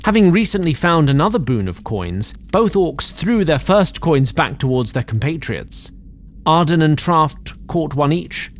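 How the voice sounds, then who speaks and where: A man giving scripted narration for a fantasy audio drama in a measured, theatrical storytelling manner. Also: on the high side, very animated. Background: music.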